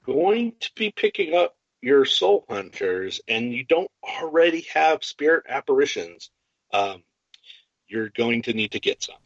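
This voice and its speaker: A man's voice: mid-range voice, very animated, a little slow, no room sound. A man hosting a podcast, chatting casually about a tabletop hobby in a conversational way. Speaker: podcast host. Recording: dull, lo-fi podcast audio.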